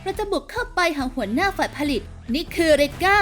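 A woman voicing a bossy, sardonic young rebel leader in a video game fan dub, with a brisk, teasing delivery. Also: high-pitched, sing-song, fairly bright tone. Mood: brisk briefing. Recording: music behind the voice.

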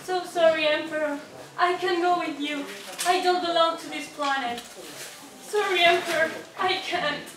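A woman's voice: extremely high pitch, moderate pace. A young woman acting an apologetic, otherworldly young princess in a scripted puppet show. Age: young adult. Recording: very echoey distant room, noisy clicks.

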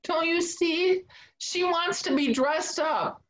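A woman's voice: very high-pitched, slow pace, fairly bright tone, heavily acted, poor audio. An older woman playing a flustered, admiring household maid in a fretful, exclamatory stage comedy style. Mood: flustered, worried. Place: indoors at home, video call.

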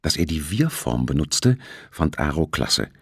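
A man's voice: very deep voice, very animated, unhurried, gravelly, a bit bright, breathy edge, no echo, studio quality. A man narrating a thriller audiobook in a scripted, storytelling style.

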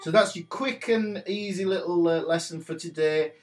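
A man's voice: high-pitched, normal speed. A man in his forties with a stuffy nose from a cold, casually teaching a guitar lesson from a small home studio while he plays. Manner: chatty, talks while playing guitar. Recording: guitar notes under the voice. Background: guitar playing.

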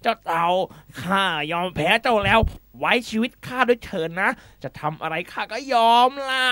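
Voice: medium pitch, lively intonation, moderate pace, pushed and bright, dry recording, studio quality. A performer voicing a boastful, gullible bully snake with exaggerated delivery in a children's animal fable. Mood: defeated, pleading. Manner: exaggerated, threatening then whining.

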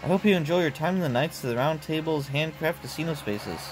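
A man voicing a polite, courtly male knight in a game who welcomes guests in a formal, measured way. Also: a little high, music in the background, natural voice, low-quality recording. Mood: courteous.

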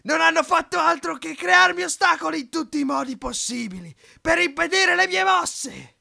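Casting: paranoid middle-aged dictator in a war film, gravelly and forceful, given to emphatic ranting. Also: male voice, very high voice, lively intonation, moderate pace, exaggerated voice. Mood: furious. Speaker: amateur dubbing voice actor. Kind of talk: amateur film dub, raging monologue.

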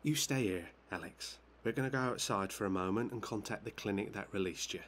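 Actor as measured police chief, faint background noise. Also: male voice, on the low side, close to an everyday voice. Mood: measured, official. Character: measured, hesitant male police chief questioning a suspect. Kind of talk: video game voice acting. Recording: noisy with background ambience.

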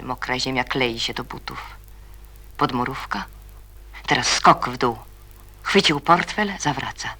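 Radio drama narrator, terse, suspenseful, slow delivery, husky breathy tone. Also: male voice, medium pitch, sharp tone, exaggerated voice.

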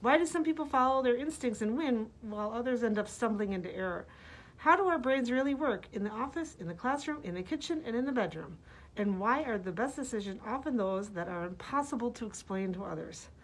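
A woman's voice: fairly high pitch, lively intonation, fairly quick. A middle-aged woman, a librarian reading book blurbs with casual asides, slightly muffled by a face mask. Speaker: librarian presenting books. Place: library room with bookshelves.

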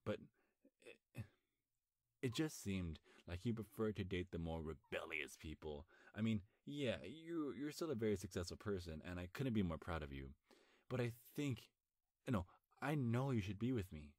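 A young man playing a nervous, lovestruck best friend in an intimate ASMR roleplay, speaking earnestly with a halting stammer. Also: fairly low pitch, animated, a little mellow, no room sound, clean studio sound, natural voice. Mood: pleading, lovestruck.

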